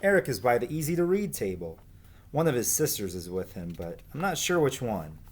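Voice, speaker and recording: A man's voice: mid-range voice, very animated, brisk. A man voicing a frank, impatient schoolgirl in a storybook, with a matter-of-fact manner. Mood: alert.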